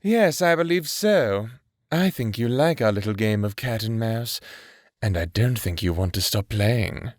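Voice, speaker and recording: A man's voice: lowish voice, animated, measured pace, no echo, studio quality. Flirty, theatrical villain prince played by a man. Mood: smug, teasing. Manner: teasing, theatrical drawl. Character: flirtatious, smug male criminal prince, playful and theatrical. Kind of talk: audio drama acting.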